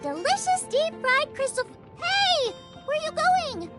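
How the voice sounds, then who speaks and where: An eager teenage girl chef from a video game. Her voice is extremely high and bounces widely in pitch, chatty and cheerful, with music playing clearly behind her. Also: big pitch swings, a little slow, exaggerated voice. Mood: perky, eager. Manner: perky, chatty, enthusiastic. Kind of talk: video game character voice lines.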